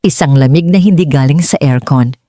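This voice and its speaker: A woman's voice: very deep voice, fairly quick, mellow, dry recording, studio quality. A woman reading a scripted dramatic story as an audiobook narrator.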